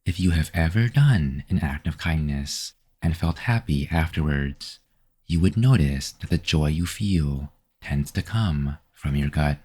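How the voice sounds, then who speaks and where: A man guiding a meditation with gentle, unhurried, scripted instructions. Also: very deep voice, animated, husky. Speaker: meditation guide. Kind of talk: scripted guided meditation narration.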